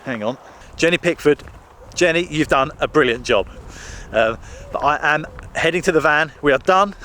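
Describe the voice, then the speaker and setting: A British man with a hoarse, fading voice, chatting enthusiastically to a handheld camera as he walks through a garden. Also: a little high, animated, moderate pace, bright, forceful tone, slight background noise. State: hoarse, losing his voice. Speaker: vlogger and TV presenter. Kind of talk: casual walk-and-talk vlog. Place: outdoors in a large garden.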